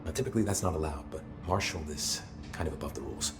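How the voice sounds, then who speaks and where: A man's voice: a bass voice, fast, over music. A man playing a weary, wry security officer in a video game, talking in a casual, grumbling, hesitant way with dry humor. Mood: chatty, candid. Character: weary, foul-mouthed male security officer, wry and chatty. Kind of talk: naturalistic video game dialogue.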